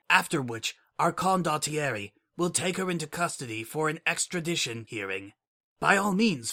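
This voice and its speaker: Brief: a man playing a cool, formal pony official in a storybook reading, measured, softer and even. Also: on the high side, voice pushed up. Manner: formal, measured and legalistic, refers to self as "this one". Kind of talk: fan dramatic audiobook reading.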